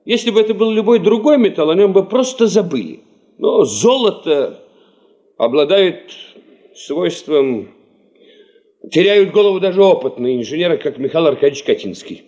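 An actor playing a principled, sceptical, cautious geologist who leads a field party in a Soviet radio drama, speaking formally and precisely. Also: male voice, high voice, expressive, normal speed, a little mellow, highs cut off. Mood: scornful, firm.

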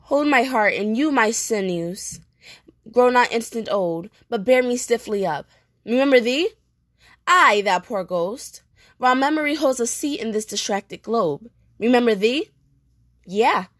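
Woman doing a distraught young prince in a shakespeare soliloquy, highish voice, dry sound, big dramatic pauses. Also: lively intonation, fairly bright tone, no room sound. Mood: anguished, appalled. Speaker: performer rehearsing a soliloquy. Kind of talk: theatrical Shakespearean monologue, repeated takes.

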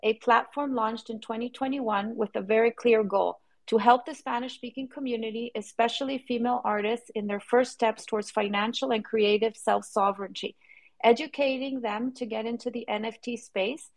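A woman co-hosting an online chat, reading a guest bio aloud and welcoming her warmly. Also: fairly high pitch, steady tone, moderate pace. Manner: friendly, reads aloud smoothly. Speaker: co-host.

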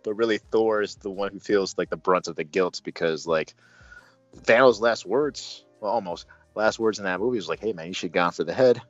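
A man casually reviewing a film as host, speaking in a halting, thoughtful, enthusiastic fan's way. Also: lowish voice, dry recording. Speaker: movie review show host. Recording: dull lo-fi sound, music behind.

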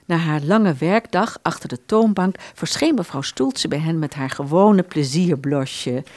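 A woman's voice: deep voice, unhurried, a little mellow, dry recording. A woman narrating a literary radio play in a scripted storytelling style. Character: literary female narrator of a musicians' tale.

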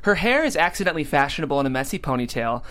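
A man's voice: on the high side, big pitch swings, racing, fairly bright tone. A man, hosting and narrating a script read in an upbeat way.

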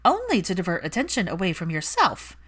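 A woman voicing a haughty, sharp-tongued young witch with a huffy, dramatic manner in a story reading. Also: fairly low pitch, huge pitch swings, a little fast, breathy edge, full character voice.

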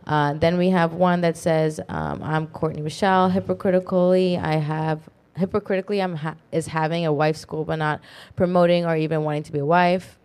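A woman co-hosting an online talk show, reading viewer comments aloud quickly, with frequent fillers. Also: sits low, fairly even intonation, a little mellow, clear, resonant tone. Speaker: co-host reading super chats. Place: online video podcast studio.

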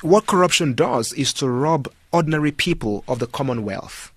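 A man's voice: on the high side, expressive, moderate pace, husky, no room sound. Emphatic male radio guest, dry mic. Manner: emphatic, rhetorical questions. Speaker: studio guest, political commentator. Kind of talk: radio talk show interview.

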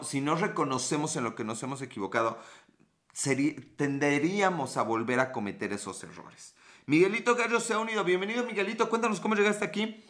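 A man with a Mexican accent chats casually on a livestream, greeting viewers by name and joking sarcastically. His voice sits a little high and sounds bright and forceful. He swears freely and talks loosely with his audience. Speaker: livestream host.

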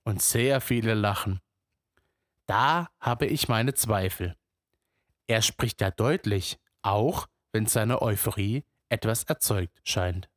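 A man's voice: on the low side, slow, no echo, studio quality. A man telling a story in the first person, with musing, immersive narration.

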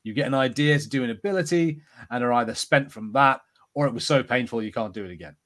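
A man's voice: mid-range voice, quick pace, a bit bright. A man chatting casually as a podcast co-host, with a wry, joking way of talking. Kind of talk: casual pop-culture chat.

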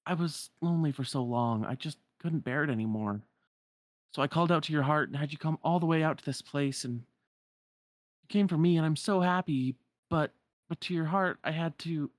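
Someone doing a lonely young game girl, lifted pitch, gentle, hesitant, sorry-sounding. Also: normal speed, no room sound, natural voice. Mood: sad, apologetic.

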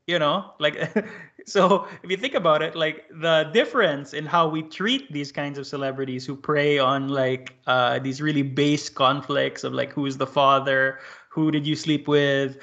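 A man's voice: on the high side, a bit bright. A man hosting a podcast interview, speaking thoughtfully with lots of "like" and "uh". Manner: thoughtful, filler-heavy with "like" and "uh". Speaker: podcast host. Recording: muffled lo-fi audio.

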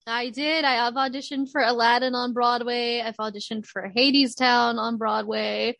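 A young woman co-hosting a casual podcast chat, animated, laughing often and acting out quotes. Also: a little high, moderate pace, gravelly, no room sound. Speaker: podcast co-host.

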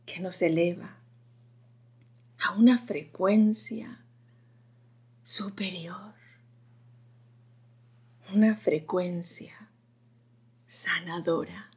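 A woman narrating a guided sleep meditation in a gentle, unhurried, whispery voice, in short phrases with long pauses. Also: lowish voice. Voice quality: breathy, whispery, often dropping into whisper.

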